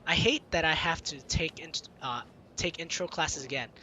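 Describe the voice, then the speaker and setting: A man's voice: on the high side, moderate pace, sharp tone, slight background noise. A man hosting a gaming video, reading visual novel narration aloud informally, with casual asides and self-corrections. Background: game music.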